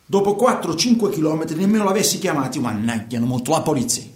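Defensive southern Italian truck driver, echoey. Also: male voice, on the high side, big pitch swings. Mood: agitated, indignant. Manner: halting, repetitive, defensive, colloquial. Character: guilty truck driver confessing, self-justifying, agitated, coarse. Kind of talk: radio theatre monologue, colloquial confession. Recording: echoey room.

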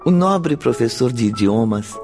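An actor playing the formal storyteller of a radio drama, reading scripted narration in Brazilian Portuguese. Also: male voice, mid-range voice, animated, measured pace, over music, natural voice. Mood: measured.

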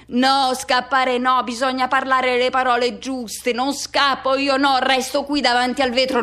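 High-pitched, intense, embittered dramatic monologue. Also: female voice. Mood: seething, tense. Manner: intense, incantatory repetition, swinging from hushed to shouting. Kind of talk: dramatic radio theatre monologue.

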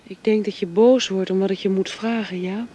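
An actress playing a young woman torn between love and faith, speaking softly and hesitantly. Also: mid-range voice, fairly even intonation, moderate pace, lightly acted. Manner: soft, hesitant.

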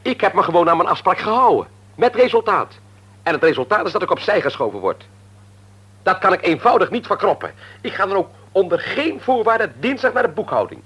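Stubborn wronged son in a radio play, highish husky voice, argues hard. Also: male voice, big pitch swings, a little fast, fairly bright tone, exaggerated voice. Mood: aggrieved. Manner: aggrieved, argumentative, insistent. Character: indignant grown son, demoted at work, stubbornly defending himself. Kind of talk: radio drama acting. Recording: old radio play recording.

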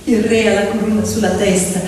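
A woman's voice: mid-range voice, level tone, brisk. A woman telling a story theatrically, emphatic, repeating words for effect. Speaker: stage actress and storyteller. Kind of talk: theatrical storytelling to piano accompaniment. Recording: echoey hall, distant mic, loud music. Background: piano music.

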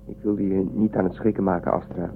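An actor playing a gentle young man in a science fiction radio drama, earnest and coaxing. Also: deep voice, flat delivery, a little fast. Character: curious, pleading young man raised in space, gentle. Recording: thin phone-like radio recording, clear noise.

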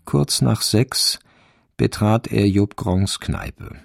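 A man narrating a radio crime drama as a measured, literary storyteller. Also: lowish voice, vocal fry, dry recording, studio quality, faint music behind, exaggerated voice.

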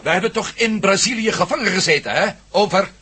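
A man's voice: sits high, fairly quick, very noisy, close to an everyday voice. A man acting in a Dutch radio drama as an embattled, urgent and baffled adventurer hero.

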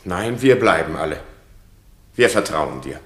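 An earnest, remorseful king speaks radio-drama verse in a low, slow voice. His theatrical delivery rises and falls widely in a roomy acoustic. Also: lively intonation. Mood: awed. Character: remorseful king and father, reunited with his wife. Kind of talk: classic German radio drama acting in verse.

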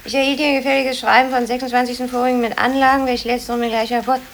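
A woman's voice: a little high, level tone, fast, a little hiss or hum, lightly acted. An actress in a radio play voicing an impatient, curt female office typist, recorded on old tape. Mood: peevish, impatient. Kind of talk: 1950s German radio play acting.

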